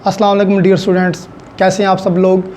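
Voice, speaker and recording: A man's voice: high voice, monotone, fast talker, darkish tone, a little hiss or hum. A man with a Pakistani accent giving an explanatory lecture to students as a law teacher, methodical and using examples, mixing in English legal terms.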